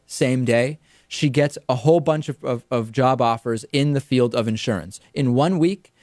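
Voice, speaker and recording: A man's voice: mid-range voice, a little mellow, dry recording. Young male host, dry studio sound. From Argentina. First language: Spanish. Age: young adult. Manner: emphatic, explaining with hand gestures. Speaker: talk show host. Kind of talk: news commentary monologue. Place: TV studio set, indoors. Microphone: studio mic on a stand in front of him.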